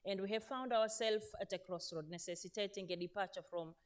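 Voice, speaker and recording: A woman's voice: mid-range voice, a little fast. A woman, a veteran teacher speaking earnestly on a conference panel, with many fillers and self-corrections. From Zanzibar, Tanzania. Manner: earnest, addresses audience directly, frequent fillers and self-corrections. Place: conference stage in Turkey.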